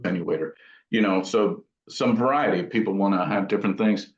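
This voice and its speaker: Low-voiced man, echoey lo-fi interview chat. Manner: thoughtful and halting, explains technical detail informally. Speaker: amp builder and company founder being interviewed. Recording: dull lo-fi sound in an echoey room.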